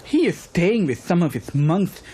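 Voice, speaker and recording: A woman voicing a deferential, expressive maidservant in a cartoon, with a dramatic way of talking. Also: on the low side, unhurried, a little hiss or hum. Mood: coaxing. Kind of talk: cartoon voice acting.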